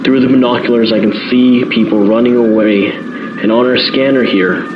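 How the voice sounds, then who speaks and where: A man's voice: medium pitch, fairly even intonation, normal speed, lightly acted. A man playing an earnest, awed eyewitness radio reporter giving a live report in an audio drama. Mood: stunned, urgent. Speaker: field reporter. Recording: noisy, muffled outdoor radio link.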